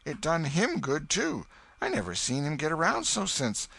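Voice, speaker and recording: A man's voice: a little high, wildly swooping pitch, moderate pace, creaky, fairly bright tone, breathy edge, no echo, pitched above the performer's own voice, full character voice. A man voicing a mischievous young boy with a drawling country way of talking. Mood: sly.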